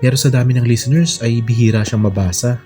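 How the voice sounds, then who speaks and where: A Filipino man narrating a scripted romance story in a sentimental, expressive way over music. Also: medium pitch, fast. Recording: music under the voice. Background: background music.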